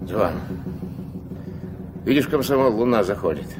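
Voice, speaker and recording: Deep, gruff old riverboat captain, noisy recording. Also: male voice, lively intonation, measured pace. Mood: grumbling, instructive. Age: older. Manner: curt orders, folksy speech, weary sighs. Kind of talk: Soviet radio drama acting. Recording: noisy 1970s radio play recording.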